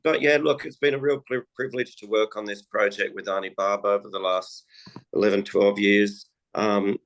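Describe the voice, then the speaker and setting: A man whose voice is raspy and hoarse from illness, talking casually as an interview guest. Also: on the low side, fairly bright tone, rough recording. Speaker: project coordinator, guest.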